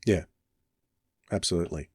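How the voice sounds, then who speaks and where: A man's voice: normal speed, dry recording. A man hosting a casual podcast chat, speaking close to the microphone. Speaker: podcast host.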